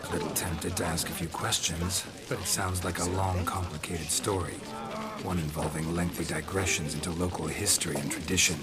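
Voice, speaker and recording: An actor playing a gruff, laconic monster hunter in a video game, speaking in clipped, deadpan sentences. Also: male voice, sits low, fairly quick, close to an everyday voice. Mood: dry. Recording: loud music behind the voice. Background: loud game music.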